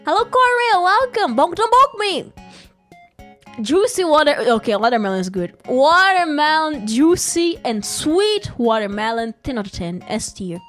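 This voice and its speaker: A woman's voice: high voice, big pitch swings, unhurried, a bit bright. A young woman, chatting casually and playfully on a live stream, giggling often and rambling. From Brazil. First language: Portuguese. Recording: faint music behind.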